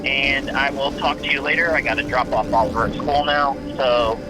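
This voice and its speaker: A man's voice: medium pitch, fairly even intonation, moderate pace, natural voice, low-quality recording. A man acting a goofy, affectionate buddy who rambles playfully through a voicemail in an audio drama. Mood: affectionate, casual. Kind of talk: naturalistic fiction podcast voicemail acting.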